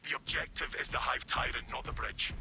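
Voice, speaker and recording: A man's voice: mid-range voice, steady tone, brisk, over music, lightly acted. An actor playing a stern male soldier in a war video game, giving rousing military commands. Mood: commanding. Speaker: game character.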